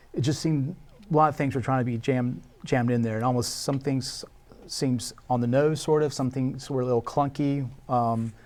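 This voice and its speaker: A man giving measured spoken feedback as a panelist discussing a script. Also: medium pitch, darkish tone.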